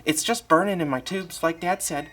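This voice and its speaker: A man's voice: on the high side, moderate pace, music in the background, natural voice. A man voicing a nervous, childlike robot afraid of ghosts, anxiously repeating reassurances to himself. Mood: frightened. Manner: anxious, repetitive self-reassurance.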